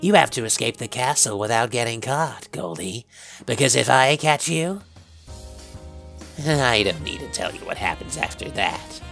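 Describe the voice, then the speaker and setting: A woman voicing a smug male skeleton villain in a fan audio drama, teasing with drawn-out, playful menace. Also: extremely low pitch, lively intonation, vocal fry, a bit bright, faint music behind, exaggerated voice. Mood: smug taunting.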